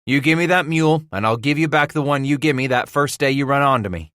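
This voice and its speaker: A man's voice: medium pitch, quick pace, a bit bright, dry recording, clean studio sound, pitched above the performer's own voice, close to an everyday voice. A man voicing a big, hot-tempered frontier trapper in an audiobook, growling in rustic dialect. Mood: sly, gruff bargaining.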